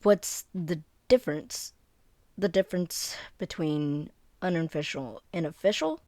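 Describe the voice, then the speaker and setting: Woman acting a brisk, flustered, friendly werewolf beta. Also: fairly low pitch, warm tone, no echo, natural voice. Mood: earnest, explaining. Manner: hesitant, rambling, informal explaining. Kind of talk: scripted one-sided roleplay audio drama, conversational.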